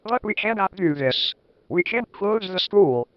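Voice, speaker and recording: A man's voice: high voice, lively intonation, unhurried, no room sound, highs cut off. A man voicing an old, stern male wizard headmaster with a solemn, declaring delivery.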